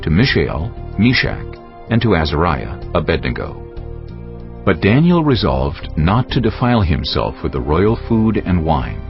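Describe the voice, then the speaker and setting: Deep male narrator reading scripture, solemn but lots of movement in the voice, soft music, bit muffled. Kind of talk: scripted dramatized Bible narration.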